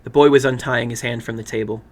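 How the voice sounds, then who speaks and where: A man narrating a horror story dramatically, in a deliberate, suspenseful manner. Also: medium pitch, steady tone, darkish tone. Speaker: horror fiction reader and host.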